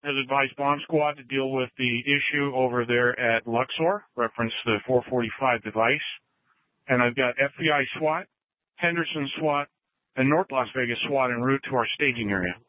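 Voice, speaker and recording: A man's voice: mid-range voice, moderate pace, dry recording. A man, a police commander, giving a status report over police radio. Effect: police radio transmission. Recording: tinny narrow-band police scanner audio.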